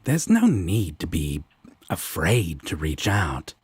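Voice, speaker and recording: A man playing a protective, laid-back devil roommate in an intimate roleplay audio, speaking in a husky, breathy, affectionate drawl. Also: low voice, very animated, slow pace, no room sound, full character voice. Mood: gently reassuring. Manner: drawling, affectionate, casual reassurance.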